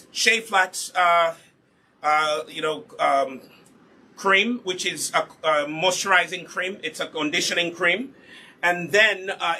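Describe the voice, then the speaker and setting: A man leads a live hair care lesson, patient and instructive. His voice sits a little high, with a very bright, sharp tone and a husky texture. He often poses a question and then answers it himself. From Uganda.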